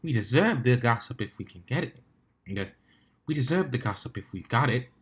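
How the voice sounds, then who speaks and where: Female pony gossip, read by a man: insistent socialite from a storybook, medium pitch. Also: animated, a little fast, natural voice. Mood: eager for gossip.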